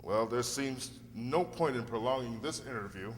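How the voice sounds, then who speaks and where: A man's voice: mid-range voice, moderate pace, natural voice. Guy doing a polite earnest lawyer, stagey radio play, formal talk, echoey hall with some hum. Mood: taken aback. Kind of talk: radio drama acting.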